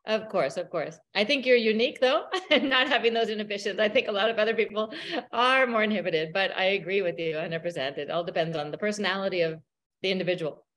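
A woman's voice: mid-range voice, fast talker, a bit bright. A woman hosting a podcast for English teachers, agreeable and encouraging in conversation.

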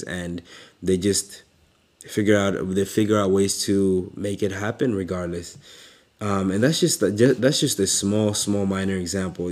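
Low, slow-talking man from Uganda. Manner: relaxed, halting, with fillers and laughs. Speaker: motivational speaker. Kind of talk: casual motivational talk.

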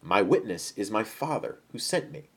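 Man as authoritative teacher in his thirties, solemn, emphatic, measured, very varied intonation, Bible storytelling. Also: fairly low pitch, lively intonation, creaky, a bit bright, breathy edge, full character voice. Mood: calm, gentle. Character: Jesus, calm but bold, authoritative teacher in his thirties.

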